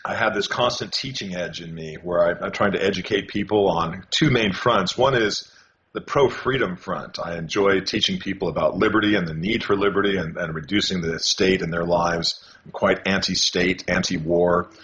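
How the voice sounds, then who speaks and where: An older man from California with a very deep voice answers interview questions over a dull, lo-fi connection with a faint hiss behind him. He talks haltingly, restarting phrases and filling pauses as he goes. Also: fairly bright tone. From California, USA. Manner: halting, many restarts and fillers. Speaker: guest. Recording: dull lo-fi call audio with faint noise.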